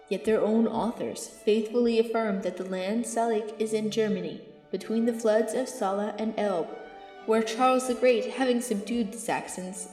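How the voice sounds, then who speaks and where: A woman's voice: mid-range voice, a little mellow, natural voice. A woman acting a learned, earnest archbishop in an amateur Shakespeare audio drama, with formal, declamatory delivery. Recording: echoey hall, music behind.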